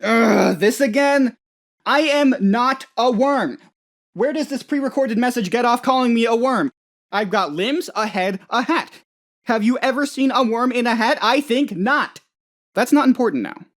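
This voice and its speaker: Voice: mid-range voice, a little slow, natural voice. An actor playing a dim, anxious, childishly self-important office boss in a comedic video game, rambling hesitantly and turning whiny when upset. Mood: indignant. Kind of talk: comedic game dialogue, voice lines.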